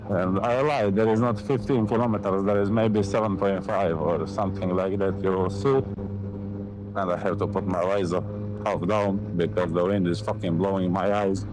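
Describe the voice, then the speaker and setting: A young Montenegrin man with a very low voice rambles through a casual motorcycle vlog in accented English, full of fillers and offhand swearing. He talks into a helmet mic while riding in city traffic, with faint wind and traffic noise underneath. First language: Serbian. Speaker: motovlogger.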